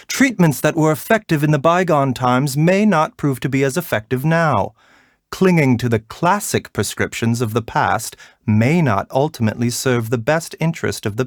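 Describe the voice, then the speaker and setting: An actor playing a gentle, composed herbal doctor in a video game, polite and measured in manner. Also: male voice, a little high, dry recording, clean studio sound. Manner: soft-spoken, polite, measured, bedside courtesy. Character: gentle, courteous, composed male herbal doctor, frail yet unhurried. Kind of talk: video game character voice lines.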